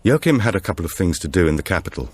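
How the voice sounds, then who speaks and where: Male audiobook narrator for supernatural thriller, deep register, expressive pitch movement, measured suspense, dry studio sound. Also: slightly rough, dry recording. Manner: measured, suspenseful storytelling with animated dialogue.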